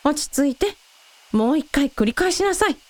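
A woman playing a brisk, protective member of an adventuring family in a radio drama. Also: high-pitched, animated. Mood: firm.

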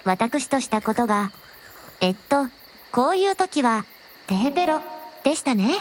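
A woman playing a gentle, very polite dragon princess with a softly teasing manner in a scripted audio drama. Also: on the high side, big pitch swings, unhurried. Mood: gentle, earnest. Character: gentle polite dragon princess, a shrine maiden's alternate self.

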